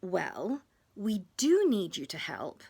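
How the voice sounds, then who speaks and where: Woman reading as a soothing kids book mum, encouraging, lilting up and down, slightly creaky. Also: mid-range voice, wildly swooping pitch, slow pace, a little mellow, full character voice. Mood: explaining. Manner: soothing, encouraging, explaining simply. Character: William's gentle, reassuring mummy in a children's storybook.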